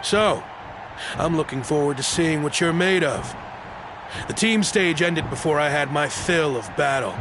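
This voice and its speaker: A man's voice: a little high, a little slow, background noise, natural voice. A man voicing a cocky, battle-hungry arena fighter in a video game. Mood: confident challenge.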